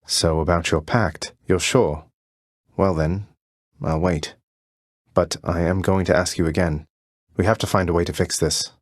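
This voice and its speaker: Rebellious young underworld prince for a game: low register, dry studio sound, wry understatement, courteous ease. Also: male voice, fairly even intonation, measured pace, pauses often, no echo. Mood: hesitant, earnest. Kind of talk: video game character voice lines.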